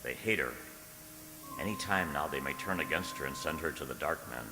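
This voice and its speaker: Man acting an earnest low-voiced suitor in radio drama. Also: fairly quick, echoey room, long reverb tail, music in the background. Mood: anguished. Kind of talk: radio drama acting, journal narration and dialogue.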